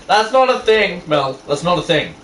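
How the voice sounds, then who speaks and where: Casual female chatter, swooping pitch, roomy off-mic sound with faint noise. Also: sing-song, normal speed, recorded from a distance. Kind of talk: casual banter among friends.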